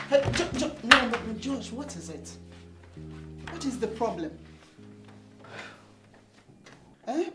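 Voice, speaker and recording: A woman's voice: sits high, full character voice. An actress playing a worried, protective woman, pleading urgently in naturalistic film drama. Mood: alarmed concern. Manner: pleading, urgent, repeats words. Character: worried, protective woman calming a volatile man.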